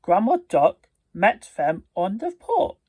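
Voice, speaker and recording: Halting, husky storybook reader with a lively pitch. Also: no echo, studio quality. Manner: halting, stumbling, careful phrasing. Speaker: storybook reader and video host. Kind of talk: read-aloud storybook narration.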